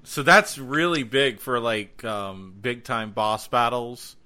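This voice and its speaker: A man hosts a casual gaming podcast in a sharp, bright voice at an average pitch. His talk is slangy and excitable, and he doubles up words to stress them. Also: slow. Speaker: podcast host and game streamer.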